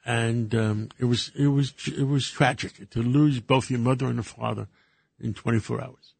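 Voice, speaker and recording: An older Greek-born man, a radio co-host, who talks casually in halting bursts with many fillers and restarts. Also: medium pitch, steady tone, vocal fry, darkish tone, slightly rough, dry recording. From Greece.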